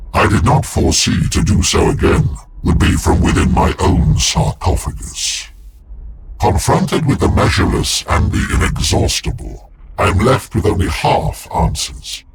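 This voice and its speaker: An actor voicing a grave, brooding armoured warrior-psyker entombed in a war machine, speaking formally and portentously in a game cutscene. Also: male voice, mid-range voice, noisy background. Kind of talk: dramatic video game cutscene acting.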